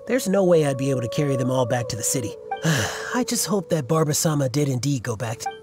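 Man voicing an animated, fretful young fanboy. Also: mid-range voice, fast, over music, close to an everyday voice. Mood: resigned, worried. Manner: earnest, dramatic, sighing hesitation. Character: fretful, devoted young male fan club leader. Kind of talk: video game character dialogue.

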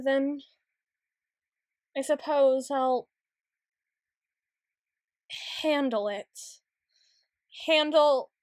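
Woman acting a high-voiced, flustered tsundere young woman. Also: no echo, clean studio sound. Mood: tender, shy. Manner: halting, stammering, formal wordy phrasing. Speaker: audio roleplay voice actor. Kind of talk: ASMR roleplay, close and intimate acting.

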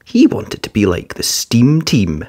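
A narrator reading a children's picture book aloud in an expressive storytelling style. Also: medium pitch, a little slow.

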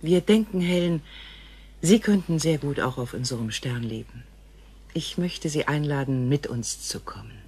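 A woman playing a grave, gentle female alien visitor in a science fiction radio play, speaking in formal, measured phrases. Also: sits low, husky. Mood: earnest, inviting.

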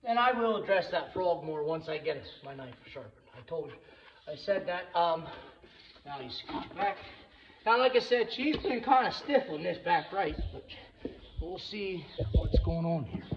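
Gravelly-voiced man chatting over noisy barn work. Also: on the high side, expressive, normal speed. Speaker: farrier vlogger. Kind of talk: casual running commentary while working. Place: indoors in a horse barn. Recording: muffled, noisy barn with tool clicks.

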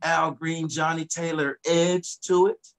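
A middle-aged man, a singer guest, talking in a relaxed, reflective way about his background on a video call from his home studio. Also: on the high side, takes it slowly. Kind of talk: casual video-call interview.

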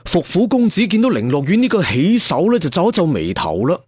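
A man's voice: high-pitched, wildly swooping pitch, a little fast, a little mellow, slightly rough, dry recording, clean studio sound. A man telling a story theatrically, like a traditional serial storyteller with asides to listeners. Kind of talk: dramatic Cantonese audiobook storytelling.

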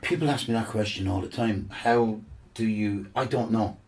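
A man's voice: lowish voice, normal speed, recorded from a distance. A man guest musician chatting casually, enthusiastic and rambling with repeated words. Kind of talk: casual chat.

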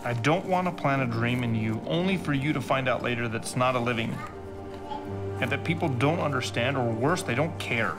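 A man's voice: medium pitch, moderate pace. An American man giving an intimate, earnest, plain-spoken film narration, as a farmer speaking to his child. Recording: film voice-over under loud music. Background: loud music.

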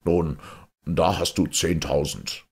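A man playing a proud castle commander and royal servant in a fairy tale, formal and courtly, with a gravelly, husky voice. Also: deep voice, steady tone, slow, a little mellow, exaggerated voice. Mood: brisk, authoritative.

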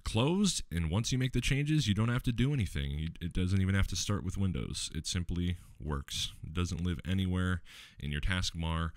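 A man with a deep, low voice walking viewers through a software tutorial step by step, relaxed, with the odd filler. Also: moderate pace, no echo, studio quality. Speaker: tech tutorial host. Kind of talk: screen-recorded software tutorial.

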